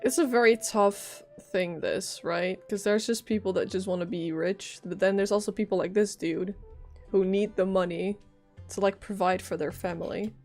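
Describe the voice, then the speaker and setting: Female streamer, average pitch, casual chatty gameplay commentary with soft game music behind. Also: fairly even intonation, normal speed. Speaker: live streamer playing a game.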